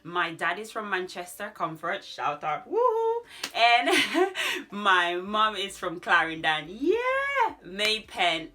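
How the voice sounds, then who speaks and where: Jamaican woman in her 30s doing a chatty q&a vlog, bright voice kinda on the low side. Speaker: YouTube vlogger. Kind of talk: casual chat answering questions to camera. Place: small furnished room at home.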